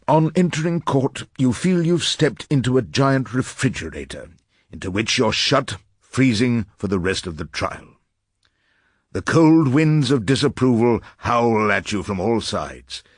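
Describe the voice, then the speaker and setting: Elderly English barrister, husky, lowish, animated intonation, wry, irascible, ornate literary phrasing, radio drama narration. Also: male voice, dry recording, studio quality.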